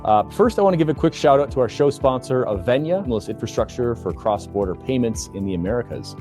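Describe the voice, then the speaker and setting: A man's voice: mid-range voice, expressive, fast talker, darkish tone, faint music behind. A man hosting a podcast, asking long, rambling questions with many fillers and restarts in casual chat. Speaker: podcast host.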